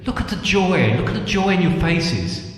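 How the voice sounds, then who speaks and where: A man's voice: high voice, sing-song, a little hiss or hum. A man playing a coaxing spirit in a comic pantomime, asking leading questions and repeating himself. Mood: wistful, theatrical. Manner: slow, repetitive, leading questions. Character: coaxing ghost guiding Scrooge through his past. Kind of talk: semi-improvised comic pantomime. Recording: very echoey, long reverb effect.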